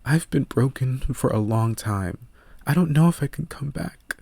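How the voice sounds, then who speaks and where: A man voicing a gentle, vulnerable woman who is opening up, in a soft, earnest audiobook delivery. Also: medium pitch, animated, normal speed, creaky, dry recording, pitched above the performer's own voice. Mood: vulnerable, hurt. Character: gentle wounded woman restoring her garden, vulnerable, opening up.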